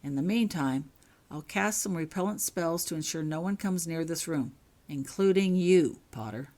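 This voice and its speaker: A woman's voice: low voice, animated, normal speed, dark tone. A woman plays a stern female professor in a storybook reading. She is firm and authoritative, conceding only reluctantly as she hands out orders.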